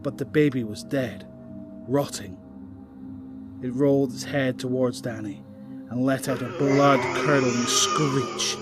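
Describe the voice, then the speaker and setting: A man narrating an audio drama in a measured storytelling voice of middle pitch. Clear music plays underneath his reading. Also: fairly even intonation. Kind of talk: scripted narration.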